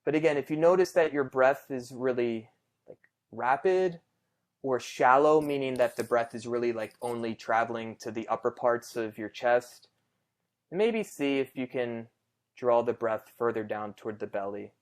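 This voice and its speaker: A man leading a guided meditation conversationally, in a gentle, reassuring, unhurried way with pauses between phrases. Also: medium pitch.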